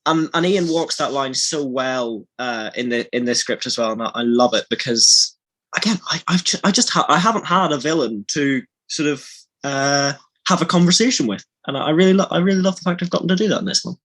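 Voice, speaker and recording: A man with a fairly high voice talks casually on a podcast about his creative work. He rambles effusively, often breaking off and restarting a sentence before carrying on. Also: brisk, fairly bright tone. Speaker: audio drama lead actor. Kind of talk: casual behind-the-scenes podcast chat.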